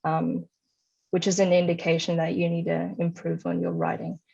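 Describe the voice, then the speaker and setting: Low-voiced young woman, slightly muffled recording. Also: flat delivery, normal speed, vocal fry, dark tone. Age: young adult. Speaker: interviewee, high-achieving former student. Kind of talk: conversational study advice.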